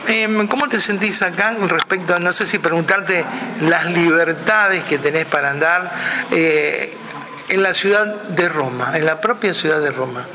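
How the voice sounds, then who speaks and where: A man's voice: on the high side, moderate pace, background noise. A man with an Argentine accent interviewing guests in a chatty, joking way. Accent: Argentine Spanish. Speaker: interviewer host. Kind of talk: radio-style interview.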